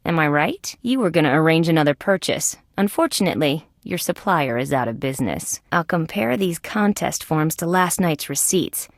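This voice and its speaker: A determined young female insect detective for an adventure video game. Her voice sits a little low, with wry, conversational, clearly spoken delivery. The recording is very dry, with no room sound. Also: animated, moderate pace, few pauses, no echo. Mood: firm, threatening. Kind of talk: scripted adventure game voice acting.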